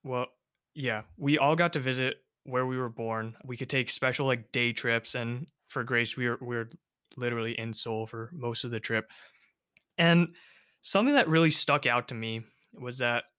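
A man's voice: medium pitch, moderate pace, clean tone, no echo, clean studio sound. A young man hosting a casual chat, talking loosely with lots of filler words. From United States. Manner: relaxed, rambling, many fillers. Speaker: host.

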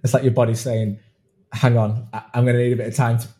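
A man's voice: medium pitch, flat delivery, fast, dark tone. A man hosting an interview, chatty and affirming, often restarting his sentences. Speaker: podcast host. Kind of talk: conversational interview.

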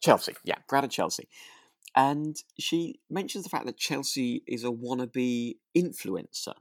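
Casting: British man, medium pitch, casual and hesitant podcast co-host, thinking aloud in a dry acoustic. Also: lively intonation, unhurried, dry recording.

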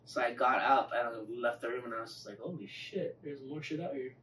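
A man's voice: medium pitch, fairly quick, fairly bright tone, recorded from a distance. A man speaks in a loose, rambling storytelling way, swearing freely. He sounds set back from the microphone in an echoey room, with faint noise behind him. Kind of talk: casual chat.

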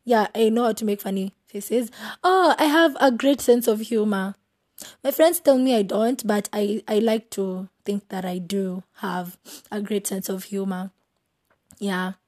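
A young woman with a Kenyan accent, hosting a casual solo podcast, giggly and playful, often trailing off into soft asides. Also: mid-range voice, measured pace. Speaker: podcast host.

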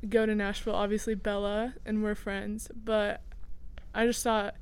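A woman's voice: medium pitch, level tone, normal speed, darkish tone, clean tone. A teenage girl from Indiana, a student co-host, chatting in a casual, storytelling way with many fillers. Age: teens. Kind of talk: casual chat.

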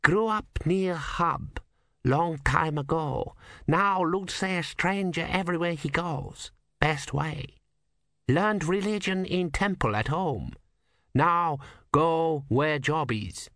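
A man voicing a calm, wry old male sweeper monk who speaks clipped broken English. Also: fairly high pitch, slow, dry recording, studio quality, pitched above the performer's own voice, heavily acted. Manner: clipped broken English, drops small words.

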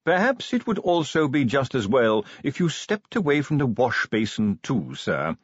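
A man voicing a tactful, unflappable butler in an audiobook, formal, measured and cautiously polite. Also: mid-range voice, sing-song, breathy edge, no room sound, highs cut off, voice pushed up. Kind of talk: audiobook character voice.

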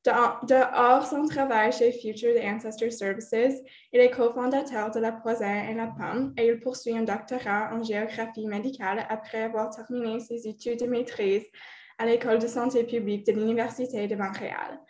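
A native English-speaking woman with an Ontario anglophone accent hosts a podcast in French. Her voice sits a little high and she reads in a careful, measured way. The recording sounds echoey. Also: steady tone, a little mellow. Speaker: podcast host. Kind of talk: reading scripted introduction, then interviewing.

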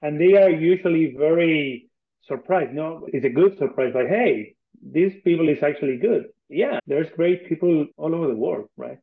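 A man's voice: fairly high pitch, lively intonation, moderate pace. A man from Argentina with an Argentine accent speaks English as a second language, conversationally and earnestly as a podcast guest, often repeating phrases for emphasis. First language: Spanish. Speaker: interview guest, tech company co-founder. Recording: thin phone-like online call audio.

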